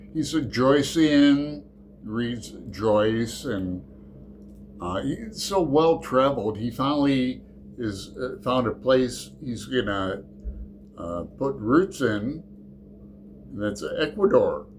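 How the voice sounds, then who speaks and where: A man hosting his book channel in a casual, rambling chat, halting and restarting his sentences often. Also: medium pitch, slight background noise.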